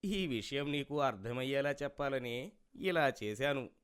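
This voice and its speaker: A man's voice: medium pitch, lively intonation, unhurried, a bit bright, clear, resonant tone. A man voicing an indignant customer who scolds a cheat in a cartoon moral story, reproachful and lecturing. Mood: firm, lecturing. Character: indignant male customer teaching a cheat a lesson.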